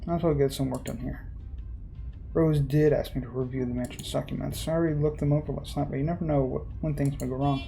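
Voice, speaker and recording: A person reading visual novel narration aloud, breaking off for informal, halting commentary. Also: medium pitch, steady tone, creaky, mellow, soft background music.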